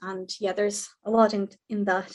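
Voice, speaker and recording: Quick-talking Ukrainian woman. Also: mid-range voice, little pitch movement, darkish tone. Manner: quick, earnest, with many restarts. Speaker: guest, lawyer and historian. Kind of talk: podcast interview.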